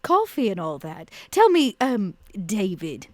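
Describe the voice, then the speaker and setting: A woman's voice: mid-range voice, wildly swooping pitch, measured pace, a little mellow, dry recording. A woman playing a tabletop role-playing game, speaking in character with a teasing, flirtatious manner. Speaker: player.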